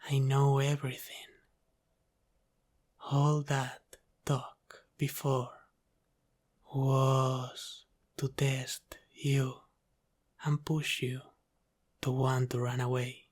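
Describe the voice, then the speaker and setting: Man playing a slow, hushed, teasing mafia boss. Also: medium pitch, flat delivery. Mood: knowing, confident. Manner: slow, deliberate, hushed, slipping into whispers. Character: teasing, protective Italian-American mafia boss, calmly in control. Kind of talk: ASMR roleplay, intimate and soft-spoken.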